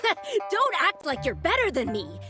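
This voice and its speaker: A woman's voice: extremely high pitch, wildly swooping pitch, measured pace, sharp tone, soft background music, full character voice. A woman voicing a hot-headed, boastful young woman in a video game, indignant and spoiling for a fight. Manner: indignant, bragging.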